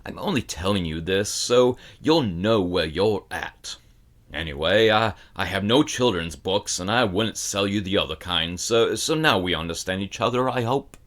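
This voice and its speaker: A man voicing a gruff, grumbling older shop owner who dislikes children, in a storybook reading. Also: low voice, expressive, normal speed, fairly bright tone. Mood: grumpy ranting. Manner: growling, grumbling, brusque and scornful. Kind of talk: audiobook character acting.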